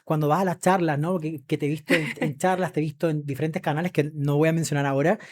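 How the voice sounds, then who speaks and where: A man's voice: fairly high pitch, steady tone, fast talker. A man hosting a conversational interview, speaking effusively and emphatically and often addressing the audience. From Chile. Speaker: podcast host.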